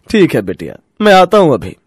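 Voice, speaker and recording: An actor playing a kindly, humble village potter in a cartoon story, an affectionate father with a husky voice. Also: a little high, huge pitch swings, moderate pace, no echo, studio quality, full character voice. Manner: affectionate, gentle.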